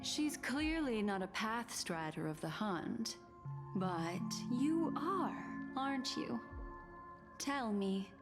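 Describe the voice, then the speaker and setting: A woman's voice: a little high, sing-song, takes it slowly, exaggerated voice. A poised, probing mystery woman. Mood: coaxing. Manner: smooth, coaxing, questioning. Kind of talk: video game voice acting. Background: loud game music.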